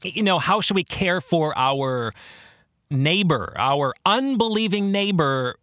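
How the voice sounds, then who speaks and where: A man hosting a podcast, explaining theology in an informal monologue with a halting, thoughtful delivery and emphasis on key words. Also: a little high, expressive, pushed and bright, no room sound, clean studio sound.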